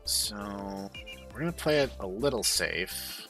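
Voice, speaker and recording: Bright-voiced man commentating a game. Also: fairly low pitch, expressive, slow pace. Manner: thinking aloud. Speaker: gaming video host. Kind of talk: game playthrough commentary and read-aloud. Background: game music.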